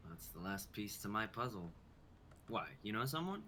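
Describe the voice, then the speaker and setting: A young man performs casual dialogue in a script reading. His voice is slightly low yet extremely bright and sharp, with clear noise behind it on the stream. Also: very animated, moderate pace. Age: young adult. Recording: noticeable background noise, online stream.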